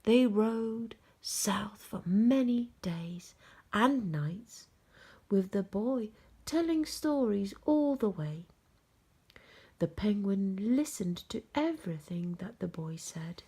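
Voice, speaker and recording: Woman, children's storyteller, slow pace, dark mellow tone, gentle expressive bedtime reading. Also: mid-range voice, husky.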